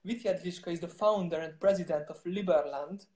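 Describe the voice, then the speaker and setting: A man's voice: fairly high pitch, fairly quick, a little mellow. Man speaking in an echoey room. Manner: hesitant, many restarts and fillers. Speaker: startup co-founder. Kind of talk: interview answers.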